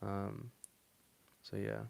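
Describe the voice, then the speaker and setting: A man's voice: fairly low pitch, little pitch movement, very slow, vocal fry, darkish tone. A young man coaching on dating, talking casually to camera and answering viewer comments, loose and rambling with many fillers.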